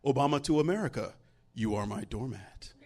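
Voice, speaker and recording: A man's voice: mid-range voice, lively intonation, brisk, mellow. A man on a comedy panel, reading out mock news headlines. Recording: noisy live audience room.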